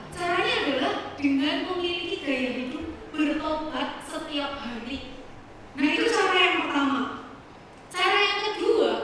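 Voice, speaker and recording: A woman delivers a church sermon in a conversational manner, speaking directly to the congregation. Her voice is extremely high. It is picked up at a distance in a hall with long reverberation. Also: sharp tone. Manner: conversational, repeatedly addressing the congregation. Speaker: preacher. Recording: echoey hall, distant mic, faint noise.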